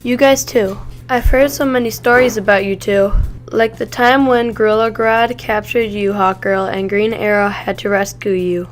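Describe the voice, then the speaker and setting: A woman's voice: mid-range voice, steady tone, moderate pace, soft background music, lightly acted. A young woman playing an eager young archer heroine about to start college, in an amateur audio drama. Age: young adult.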